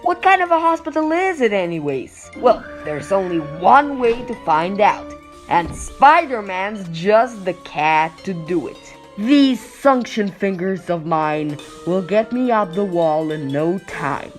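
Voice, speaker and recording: Casting: male actor as youthful wisecracking superhero, very lively intonation, brash comic-book delivery, light music behind. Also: high-pitched, takes it slowly, full character voice. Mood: breezy, confident. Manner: brash, jaunty, slangy. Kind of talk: amateur comic dub acting.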